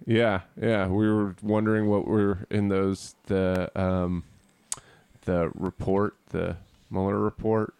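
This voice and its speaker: A young man with a low-pitched voice co-hosts a podcast in loose, casual chat. He rambles, trailing off and restarting his sentences with plenty of "uh" and "like". The recording is dry and close, with no room sound. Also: flat delivery, takes it slowly, vocal fry, darkish tone, smooth, dry recording. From Cape Cod, Massachusetts. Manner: rambling, hesitant, many fillers and restarts. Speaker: podcast co-host.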